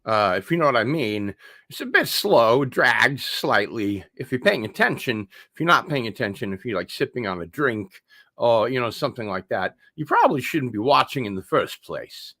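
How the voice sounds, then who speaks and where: A man's voice: mid-range voice, sing-song, moderate pace, pushed and bright, no room sound, clean studio sound. A man hosting a casual unboxing livestream, rambling along with wry asides. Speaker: host of an online show.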